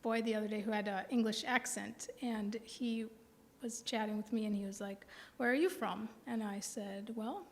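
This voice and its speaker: An American woman in her forties, a poet giving a live reading with deliberate phrasing and pauses. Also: medium pitch, steady tone. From Milwaukee, American Midwest. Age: 40s. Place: indoor reading before a live audience. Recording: reverberant hall sound.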